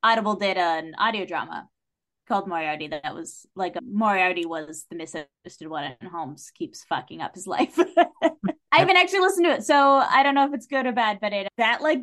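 A woman's voice: medium pitch, lively intonation, brisk, fairly bright tone. A woman talking casually as a podcast guest, chatty and opinionated about stories, often putting emphasis on her points. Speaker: podcast guest, writer and director. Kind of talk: casual literary discussion.

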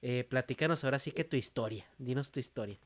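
A man's voice: medium pitch, fast talker. A man hosting a podcast, introducing things in an informal, friendly, conversational way. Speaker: podcast host.

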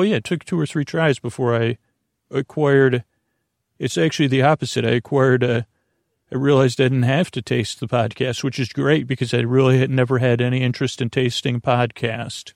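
A man hosting a sleep podcast in a rambling, halting bedtime monologue, with a creaky, gravelly voice. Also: mid-range voice, no room sound, clean studio sound. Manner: meandering, halting, repeats and trails off. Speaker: podcast host.

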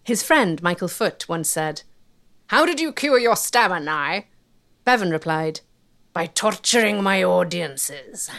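A woman reading scripted documentary narration in a measured, articulate way. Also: on the low side, animated, fairly bright tone, no echo.

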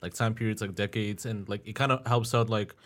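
A young man hosts a casual podcast interview. His voice sits low and stays flat, and he rambles through loose, filler-heavy questions in a laid-back way. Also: brisk. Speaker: podcast host.

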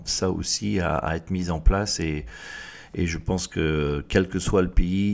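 Low-voiced man hosting an interview. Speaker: interviewer, host. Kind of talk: conversational interview.

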